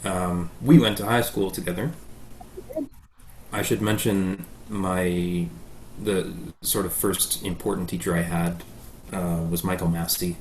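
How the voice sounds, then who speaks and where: A man's voice: sits low, darkish tone, slight background noise. A Canadian man in his thirties answering interview questions about his life, with frequent ums and uhs. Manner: hesitant, many ums and uhs. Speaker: guest pianist.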